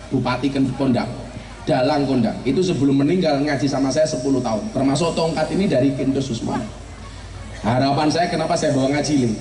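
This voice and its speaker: A middle-aged man, giving a humorous sermon and teasing his audience. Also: medium pitch. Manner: joking, teasing the audience, mixing regional dialect. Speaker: preacher. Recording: roomy, from far off, crowd and noise behind.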